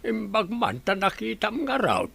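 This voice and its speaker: Old working man, radio comedy drama, creaky voice, higher-pitched, folksy, kindly, chatty. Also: sing-song, a little slow, fairly bright tone, full character voice. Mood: affectionate. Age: older.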